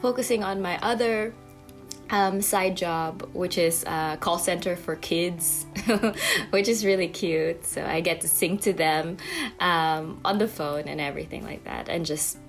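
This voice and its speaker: A woman's voice: fairly low pitch, measured pace, faint music behind. A young woman with a light New Zealand-tinged accent, chatting casually as an interview guest, full of fillers and acted-out quotes. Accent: New Zealand-tinged English. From Japan. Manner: chatty, many fillers and "like", acts out quotes with laughs. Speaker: actress guest.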